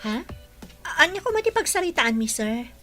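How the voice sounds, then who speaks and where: A woman plays a wary, deferential young maid in an Ilocano radio drama. Her Ilocano-accented voice is high and swings up and down. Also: big pitch swings, normal speed, sharp tone, soft background music, full character voice. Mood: wary, puzzled.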